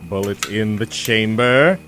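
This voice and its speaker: A man's voice: lowish voice, fairly bright tone, a little hiss or hum. An actor playing a grandiose, taunting male villain in an audio drama, drawing out his words with menace. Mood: gloating. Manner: theatrical, taunting, drawn-out delivery.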